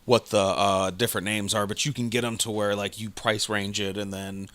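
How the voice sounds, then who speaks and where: A man's voice: on the low side, little pitch movement, normal speed, fairly bright tone, dry recording. A man co-hosting a podcast, chatting casually and rambling with many fillers and restarts. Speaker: podcast co-host. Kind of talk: casual chat.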